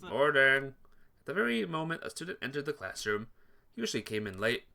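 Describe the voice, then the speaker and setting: A man's voice: mid-range voice, very animated, a little fast, gravelly, pushed and bright. A man reading visual novel text aloud during a playthrough, sometimes stumbling and rereading phrases. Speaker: video game player reading aloud.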